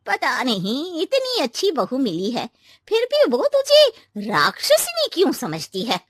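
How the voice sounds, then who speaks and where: A woman's voice: high voice, wildly swooping pitch, unhurried, no echo, studio quality, heavily acted. A woman voicing a sly, gossiping female neighbour in a cartoon, with an exaggerated, insinuating way of talking. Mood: goading. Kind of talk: animated cartoon dialogue in Hindi.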